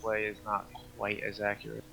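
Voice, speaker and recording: A man's voice: lowish voice, monotone, fairly quick, vocal fry, pushed and bright, slight background noise. A man giving casual gameplay commentary as a podcast co-host.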